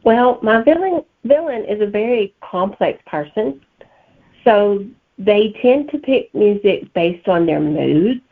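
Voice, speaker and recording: A woman's voice: medium pitch, animated, takes it slowly. A middle-aged or older woman from Alabama with a Southern accent, answering interview questions as a guest author. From Alabama, United States. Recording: tinny phone-like line.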